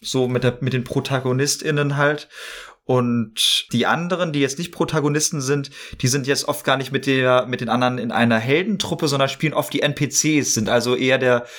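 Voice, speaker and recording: A man's voice: medium pitch, steady tone, fairly quick, a bit bright. A man chatting casually as a podcast guest, explaining things in long, looping sentences. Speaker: podcast guest, actor and improv performer.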